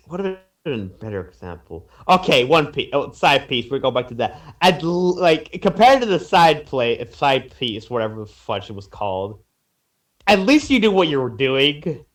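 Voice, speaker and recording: A man's voice: mid-range voice, sing-song, normal speed. A man chatting casually as a podcast panelist, stammering, repeating himself and trailing off. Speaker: podcast panelist reviewing fan fiction. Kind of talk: casual chat.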